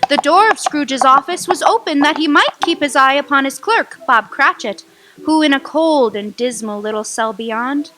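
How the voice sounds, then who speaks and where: A woman tells the story for a radio play, steady and measured. Her voice sits high, and there is a faint background noise. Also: low-quality recording. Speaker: narrator. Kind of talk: storytelling narration in a radio drama.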